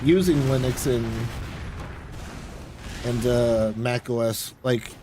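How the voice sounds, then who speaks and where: A man's voice: medium pitch, slow pace. A man streamer chatting casually in an offhand, rambling way while playing a game. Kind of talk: casual chat while gaming. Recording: clear background game noise. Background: video game sounds.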